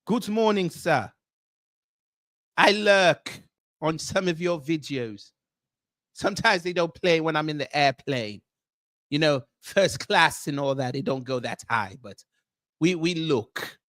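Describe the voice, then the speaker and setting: A man's voice: on the high side, lively intonation, slow, pauses often, fairly bright tone, dry recording, clean studio sound. A man putting on a Nigerian accent to play a grandiose, formal, self-proclaimed prince who hosts a livestream and greets viewers one by one. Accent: put-on Nigerian. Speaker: livestream host. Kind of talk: comedic character livestream.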